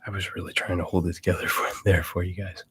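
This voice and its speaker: A man hosting a casual ASMR livestream, chatting in a breathy whisper and rambling with hesitant pauses. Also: low voice, flat delivery, fairly bright tone. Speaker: livestream host.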